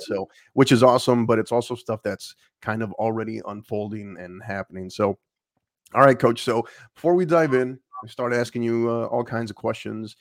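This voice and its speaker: Energetic man hosting a podcast, dry sound. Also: fairly low pitch, no room sound. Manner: energetic, rambling with fillers. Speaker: podcast host. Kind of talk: casual interview chat.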